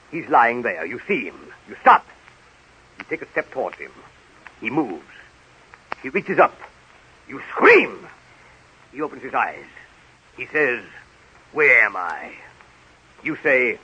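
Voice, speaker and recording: An actor playing a bossy, exasperated Hollywood film director who barks orders excitably in a 1930s radio comedy. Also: male voice, mid-range voice, unhurried, long pauses, husky, exaggerated voice. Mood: coaching, impatient. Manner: barking orders, excitable, emphatic. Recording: muffled, noisy 1930s radio recording.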